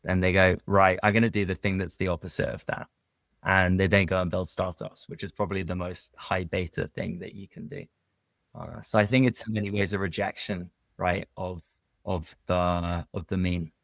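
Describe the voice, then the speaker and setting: A man speaking as a venture investor guest in an interview, thoughtful and relaxed with filler words. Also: low-pitched, moderate pace, vocal fry, no room sound. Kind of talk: casual interview conversation.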